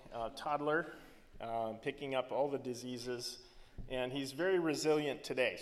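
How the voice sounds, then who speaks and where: A man's voice: mid-range voice, in a large hall. A man in his thirties, raised in the Philippines, speaking earnestly as a missionary to a church, with many ums and restarts.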